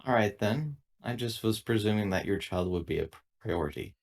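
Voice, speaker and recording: A man with a fairly low voice takes part in a tabletop roleplaying game. He speaks in character in a measured, conversational way. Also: expressive. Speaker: tabletop game player speaking as an android crew member.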